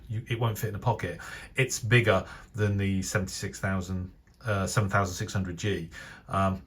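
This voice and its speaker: A British man giving a casual, unscripted review commentary in a measured, opinionated way, feeling for his phrasing as he goes. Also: fairly low pitch, a bit bright, husky. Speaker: radio enthusiast reviewer. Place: voice-over over still images.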